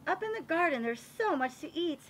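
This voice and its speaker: A woman reading a children's picture book aloud in an expressive, animated way, as if showing it to young listeners. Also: sits high, a little fast, slight background noise. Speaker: read-aloud reader for children.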